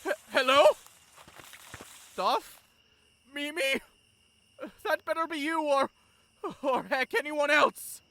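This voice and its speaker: A man as a bright-voiced, fussy bookish traveller. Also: very high voice, takes it slowly, pauses often, exaggerated voice. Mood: nervous. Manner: dry, pedantic. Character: bookish, fussy, nervous travelling companion of a skeleton. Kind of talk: audio drama character acting.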